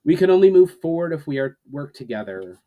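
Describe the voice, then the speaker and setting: A man voicing an earnest, solemn leader giving a measured, declamatory speech in a storybook reading. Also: fairly high pitch, very animated, narrow, tinny audio, pitched above the performer's own voice. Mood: hopeful, earnest. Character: earnest, solemn male leader addressing assembled armies.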